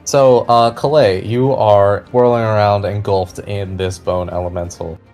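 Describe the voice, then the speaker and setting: Low-voiced man running a tabletop game. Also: fairly even intonation, measured pace, darkish tone. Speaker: game master. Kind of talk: narrating a tabletop fantasy game. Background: background music.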